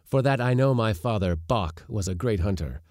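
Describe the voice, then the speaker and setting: A man's voice: lowish voice, expressive, normal speed, a little mellow, dry recording, clean studio sound, lightly acted. A man voicing a proud, defiant boy who declaims formally before a council. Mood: earnest. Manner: declaiming, formal old-fashioned speech.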